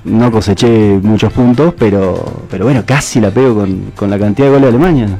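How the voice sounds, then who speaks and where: Argentine male guest, rapid chatty delivery. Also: fairly low pitch, gravelly, dark tone, soft background music. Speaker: guest, embassy official. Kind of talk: casual talk-show conversation.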